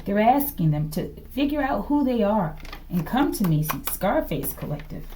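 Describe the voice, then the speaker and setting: A woman hosts an off-the-cuff tarot reading. Her medium-pitched voice moves up and down a lot. She talks in broken, musing fragments, partly to herself, and often closes a thought with a brief questioning check-in. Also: big pitch swings, moderate pace, dark tone, slight background noise. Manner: fragmented delivery, murmuring to self, often ending phrases with a questioning "okay?". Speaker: tarot reader, host. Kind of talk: improvised tarot reading to camera.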